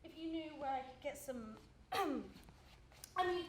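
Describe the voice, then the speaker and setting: A young woman acting on stage as an anxious, apologetic worker who speaks in halting, tentative phrases. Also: sits high, animated. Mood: anxious, hesitant. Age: young adult. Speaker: actor in a stage play. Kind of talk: live stage acting, naturalistic dialogue. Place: indoor stage performance space. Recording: very echoey hall, background noise.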